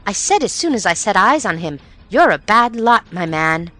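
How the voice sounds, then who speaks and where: A woman doing a lively, high-voiced young niece. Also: normal speed, faint music behind. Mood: indignant.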